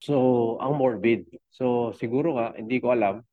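Middle-aged Filipino male interview guest, lower register, casual halting storytelling, dry but phone-like narrow audio. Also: no room sound. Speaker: podcast guest, fitness coach. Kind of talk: casual conversational storytelling in Taglish. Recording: tinny phone-like connection.

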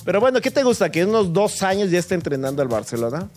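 Fairly high-pitched Mexican man chatting sports. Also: very animated, very fast, a little mellow, soft background music. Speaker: sports show co-host. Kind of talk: casual sports chat.

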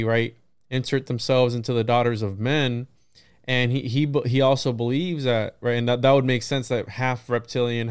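A male podcast host with a smooth, clear voice, recorded close and dry. He talks casually in a conversational interview, rambling through his ideas and circling back to reword them. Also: mid-range voice, no room sound.

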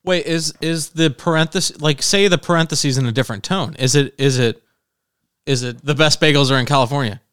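A man's voice: fairly high pitch, fairly quick, a bit bright, smooth, no echo, studio quality. A man co-hosting a casual podcast chat, laid-back and teasing. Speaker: podcast co-host.

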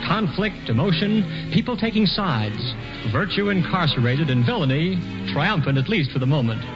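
An actor playing a wry storyteller who guides the listener through the scene in theatrical, confiding tones, in a 1940s radio drama. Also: male voice, on the high side, very animated, moderate pace. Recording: muffled 1940s radio broadcast with music.

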